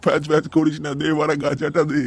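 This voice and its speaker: Man voicing a gravelly, gruff old cartoon grandpa. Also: fairly high pitch, completely flat delivery, racing. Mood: boastful. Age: older. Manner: gruff, swearing, bossy. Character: crude, boastful old village grandpa back as a ghost. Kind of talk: cartoon comedy dialogue.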